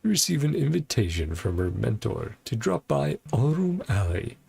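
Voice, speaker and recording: A man's voice: mid-range voice, sing-song, moderate pace, creaky, a little mellow, breathy edge. A man livestreaming a video game, chatting casually in rambling asides and reading dialogue aloud. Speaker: game streamer.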